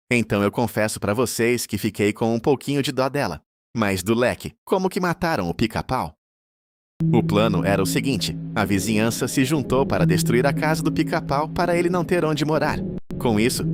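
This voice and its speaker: A Brazilian man with a fairly low voice hosts and narrates a horror tale. He speaks as a storyteller addressing his viewers, at an even, ordinary pace. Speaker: narrator and host.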